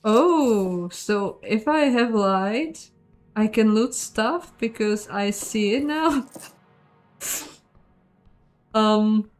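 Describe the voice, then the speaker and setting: A woman's voice: a little high, expressive, very slow, pauses often. A woman giving casual, chatty let's play commentary, thinking aloud in a self-deprecating way. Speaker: host of a game playthrough, voicing the dialogue. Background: game music.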